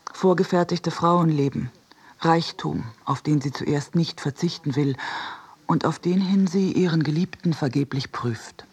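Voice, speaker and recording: A reader delivering a scripted literary essay for radio in a measured, deliberate way. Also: mid-range voice, darkish tone, breathy edge.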